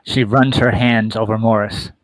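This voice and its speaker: A man's voice: fairly low pitch, fairly even intonation, normal speed, rough recording. A man reading scripted stage directions as narrator of a comic radio play.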